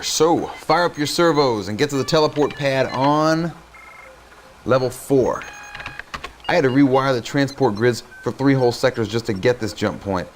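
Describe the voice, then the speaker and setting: An actor playing a young, playful rebel technician passing on instructions with jokey confidence in a game. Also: male voice, medium pitch, a little hiss or hum, close to an everyday voice. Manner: breezy, jokey, emphatic. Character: cocky, playful male rebel teleport technician. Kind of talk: scripted game radio message.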